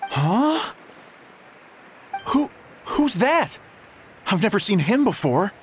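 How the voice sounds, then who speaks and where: A man voicing a flustered, skeptical young villager who stammers and questions in an animated video game. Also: sits high, takes it slowly, slight background noise, full character voice. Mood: startled.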